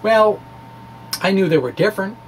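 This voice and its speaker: A man reading his physics blog aloud as an amateur presenter, with informal, folksy asides and halting explanations. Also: high-pitched, lively intonation, a little hiss or hum.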